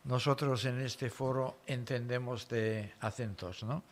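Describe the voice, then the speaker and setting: A man preaching an expository sermon in foreign-accented Spanish, explaining the story deliberately in short phrases. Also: medium pitch, fairly even intonation, slight background noise.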